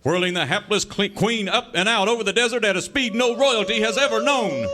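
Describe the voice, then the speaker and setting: A man's voice: high voice, brisk, natural voice. A man playing a grandiose old-time radio serial announcer, narrating with florid melodrama. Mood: urgent grandeur. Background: music.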